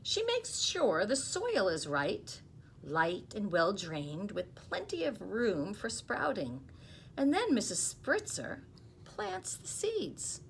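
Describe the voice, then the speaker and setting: A woman in her fifties reads a picture book aloud to children, expressively and unhurriedly, with soft explaining asides. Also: mid-range voice, wildly swooping pitch, takes it slowly. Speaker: school storytime reader. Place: small office, indoors. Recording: clearly noisy small-room recording.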